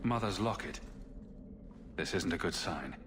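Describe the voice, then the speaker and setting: An actor playing a grim heroic adventurer in a fantasy video game, terse and dry. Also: male voice, sits low, animated, unhurried, big-room sound, background noise. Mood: worried. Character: grim heroic male adventurer searching for his mother. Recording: loud music behind the voice.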